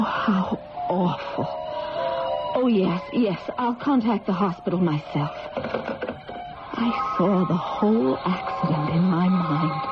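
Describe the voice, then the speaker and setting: Nervous wife and mom in old radio mystery, earnest, hesitant, dull sound with loud music behind. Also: female voice, fairly low pitch. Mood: shocked. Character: anxious, protective married mother haunted by foresight. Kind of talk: 1970s radio drama acting.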